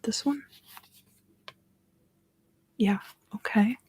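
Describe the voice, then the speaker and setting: A woman softly whispering an ASMR tarot reading as a livestream host, soothing and unhurried, in a roomy recording. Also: on the high side, husky.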